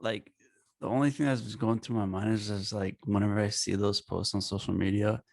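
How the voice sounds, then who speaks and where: Deep gravelly young man, halting speech. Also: steady tone, darkish tone, no room sound. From Bangladesh. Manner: halting, filler-heavy, says like a lot. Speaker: podcast guest. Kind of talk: casual podcast chat.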